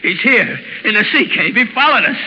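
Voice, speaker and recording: Excitable starving henchman, old radio drama: very high, swooping, hot-tempered, muffled sound. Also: fairly quick, full character voice. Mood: panicky, alarmed. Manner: excitable, shrill, melodramatic. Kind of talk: 1930s radio acting. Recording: muffled, hissy, reverberant 1930s radio recording.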